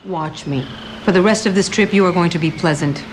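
A woman's voice: fairly low pitch, fairly quick, lightly acted. Woman playing an American mom, noisy clip. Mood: stern, exasperated. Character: stern, exasperated mother of teenagers. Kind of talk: live-action family TV film acting. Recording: noisy TV clip with background ambience.

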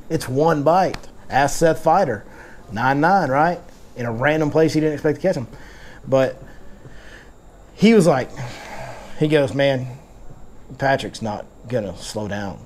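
A man, hosting a casual fishing seminar and talking in animated bursts in a tackle shop. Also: a little high, slight background noise. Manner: animated, gestures a lot, fast bursts. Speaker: fishing guide and seminar host. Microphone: lapel mic.

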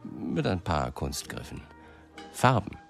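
Radio drama lead: a brooding male writer, low voice, expressive swings, music underneath. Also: slow pace, vocal fry, exaggerated voice. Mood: animated storytelling. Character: brooding male New York writer, self-doubting storyteller. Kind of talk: German radio play acting.

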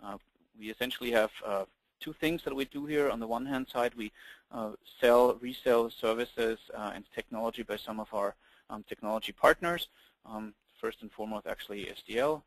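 A middle-aged man with an Austrian German accent presenting a webinar over slides, talking quickly with many ums and uhs. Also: mid-range voice, no room sound, poor audio. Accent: German-speaking Austrian. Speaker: webinar presenter, company founder. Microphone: computer mic on a webinar call.

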